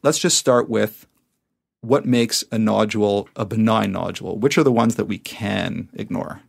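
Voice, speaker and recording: Guy w/ lowish creaky voice, podcast host, recaps stuff and asks questions, explainy. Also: moderate pace. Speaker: podcast host, emergency physician. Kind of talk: conversational medical interview, summarizing and questioning.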